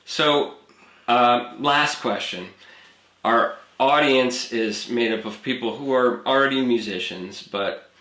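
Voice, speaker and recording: A man's voice: mid-range voice, slow. A man hosting a music interview series, asking questions and chiming in with short affirmations. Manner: relaxed, affirming listener. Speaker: interview host. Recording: echoey room.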